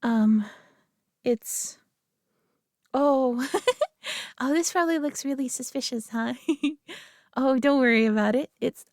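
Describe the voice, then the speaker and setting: A young woman playing a sweet, nervous girlfriend who talks softly to the listener, giggling and stammering often. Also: sits high, slow, long pauses, no room sound, clean studio sound. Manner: giggly, stammering, soft-spoken. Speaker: audio roleplay performer. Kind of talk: girlfriend roleplay addressing the listener.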